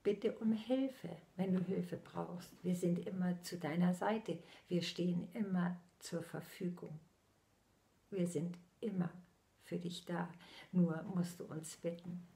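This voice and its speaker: A woman in her sixties speaks as a medium giving a channeled message, her low, gravelly voice moving at a very unhurried pace. She keeps her eyes closed and lets many phrases fall into a soft whisper. It sounds close and intimate, as if spoken into a laptop in a small room at home. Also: fairly even intonation. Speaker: spiritual medium.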